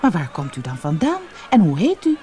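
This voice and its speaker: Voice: mid-range voice, wildly swooping pitch, brisk, mellow, faint music behind. A storybook narrator voicing a kind, generous male merchant who is polite, curious and solicitous. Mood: surprised, curious. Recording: noisy old cassette audiobook transfer.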